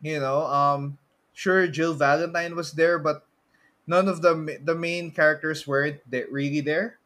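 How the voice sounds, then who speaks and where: A man with a Filipino accent chatting casually about movies as a podcast co-host, halting and prone to chuckling as he talks. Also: a little high, fairly even intonation, fairly bright tone.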